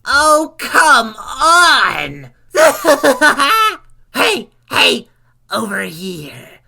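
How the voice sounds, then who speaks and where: A woman voicing a manic, excitable showman bear animatronic in a horror game, theatrical and prankish. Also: high voice, very slow, creaky, slightly rough, heavily acted. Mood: playful mockery.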